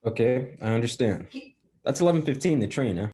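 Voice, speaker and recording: An actor playing an eager, casually reassuring hired killer in a 1940s radio drama, repeating himself. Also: male voice, mid-range voice, animated, a little fast, dark tone, breathy edge.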